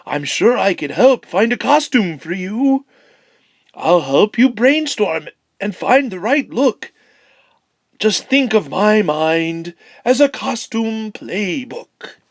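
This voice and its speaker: A woman's voice: mid-range voice, lively intonation, slow, no echo, studio quality. A woman voicing a helpful, confident spider in a rhyming children's storybook, eager and persuasive, with a sing-song delivery. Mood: friendly, helpful. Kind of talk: children's storybook read-aloud in rhyme.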